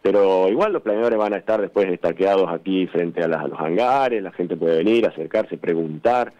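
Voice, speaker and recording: A muffled phone line carries the low voice of a middle-aged Argentine man. He speaks as a club representative being interviewed on the radio, explaining things in a conversational way. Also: normal speed, warm tone. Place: phone call to a radio studio. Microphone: telephone.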